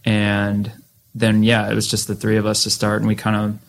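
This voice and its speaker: A man's voice: on the low side, monotone, moderate pace, vocal fry. A man in his thirties with an American accent, chatting casually as an interview guest in a rambling, slangy way about his past. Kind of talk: casual reminiscing chat.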